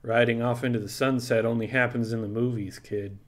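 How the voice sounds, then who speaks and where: Guy doing a gruff spaceship crew animal, flat and skeptical, looks out for his crew, audio story. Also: mid-range voice, fairly quick, close to an everyday voice. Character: gruff, protective, skeptical animal crewman of pirate ship.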